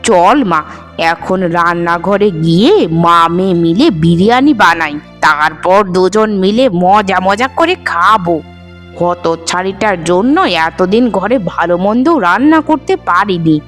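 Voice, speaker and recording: A woman's voice: lowish voice, animated, unhurried. A woman voicing a domineering, scornful middle-aged mother in a melodramatic cartoon, bossy and scolding. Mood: scheming. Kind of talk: Bengali cartoon dubbing, melodrama. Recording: music behind the voice. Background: background music.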